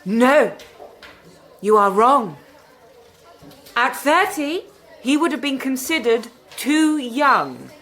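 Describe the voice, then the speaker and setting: A woman playing a defiant, sardonic schoolteacher in a radio drama, with deadpan classroom authority. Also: high-pitched, slow pace, pauses often, full character voice. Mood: sardonic, instructive.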